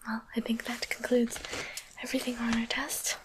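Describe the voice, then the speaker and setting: A woman playing a kindly female demon physician in an ASMR roleplay, speaking in a breathy, soothing whisper with a courteous bedside manner. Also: a little high, level tone, moderate pace, background noise, lightly acted. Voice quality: breathy, mostly unvoiced whisper. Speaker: physician giving an exam.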